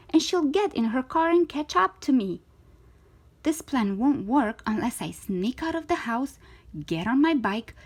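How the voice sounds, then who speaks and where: Animated, high-voiced woman reading a children's story. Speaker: storytime reader of children's books. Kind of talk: expressive read-aloud storytelling for children.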